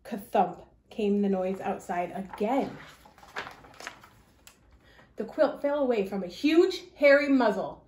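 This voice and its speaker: A woman reading a children's story aloud with expressive narration in an echoey room. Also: medium pitch, slow, long pauses.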